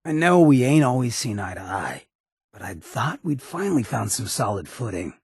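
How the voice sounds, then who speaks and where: An actor playing a swaggering, sardonic but loyal male ghoul rebel in a video game, with a very raspy, gravelly American voice and a streetwise, folksy drawl. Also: mid-range voice, big pitch swings, normal speed, a little mellow, no echo, clean studio sound, heavily acted. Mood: warm, sincere. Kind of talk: video game companion voice acting.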